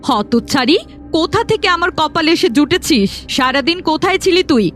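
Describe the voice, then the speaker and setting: A woman's voice: sits high, animated, brisk, a bit bright, soft background music. Cruel, scolding stepmother played by a woman. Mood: angry, scolding. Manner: scolding, commanding. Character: cruel, domineering middle-aged stepmother, harsh and scornful. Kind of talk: Bengali fairy-tale cartoon voice acting.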